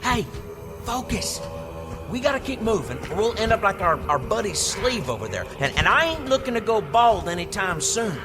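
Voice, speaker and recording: A man's voice: high-pitched, sing-song, moderate pace. A man playing a cocky, wisecracking young gunslinger with brash slang in an audio drama. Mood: excited, urgent. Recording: long reverb, music behind.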